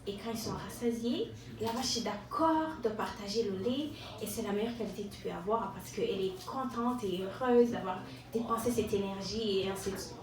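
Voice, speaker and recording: A woman from Rwanda performs a self-mocking, ironic stand-up monologue, often pausing and repeating words. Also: medium pitch, a crowd in the background. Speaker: stand-up comedian. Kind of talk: stand-up monologue to a live audience. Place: live venue with an audience. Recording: echoey room, distant mic, audience noise.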